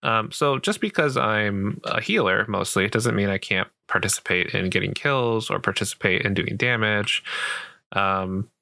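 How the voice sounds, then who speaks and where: A sweet, patient boyfriend played by a man walks a beginner through a game in a casual roleplay. His voice is low and crisply bright, recorded close and dry. He rambles gently and keeps things reassuring. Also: expressive, moderate pace, no room sound, heavily acted. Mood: patient, explaining. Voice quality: soft, creaky voice. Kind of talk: roleplay ASMR, casual improvised game tutorial.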